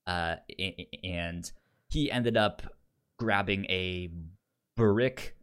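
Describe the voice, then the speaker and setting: A man co-hosting a podcast in casual discussion, speaking haltingly with stammers and repeated word starts. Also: sits low, fairly bright tone, clear, resonant tone. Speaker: podcast co-host reviewing an audio drama.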